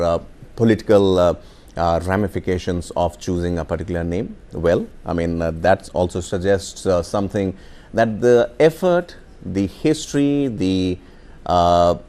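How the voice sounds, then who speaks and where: A man with an Indian accent presenting live news as an anchor, with halting, emphatic phrasing. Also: low-pitched, a little mellow.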